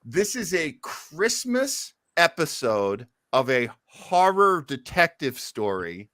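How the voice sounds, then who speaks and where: A man's voice: on the high side, very animated, slow pace, pushed and bright, dry recording. Male podcast host, enthusiastic rambling storyteller, casual delivery, dry close recording. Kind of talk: casual chat about old time radio.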